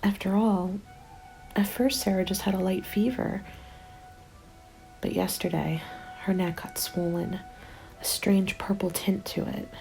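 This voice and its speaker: Hushed woman acting a haunted apocalypse survivor, half-whispered. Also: mid-range voice, fairly even intonation, low-quality recording. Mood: grieving, bleak. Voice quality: soft, breathy whispering. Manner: hushed, intimate, deliberate. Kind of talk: ASMR roleplay, dramatic monologue. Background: background music.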